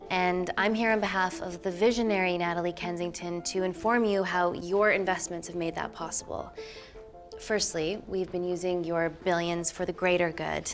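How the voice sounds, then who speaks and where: Low-voiced, earnest woman doctor played by an actress. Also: natural voice. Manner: articulate, formal, earnest. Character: earnest, composed female doctor and scientist. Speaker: scientist presenting to investors. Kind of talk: film drama acting. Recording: film soundtrack with background music.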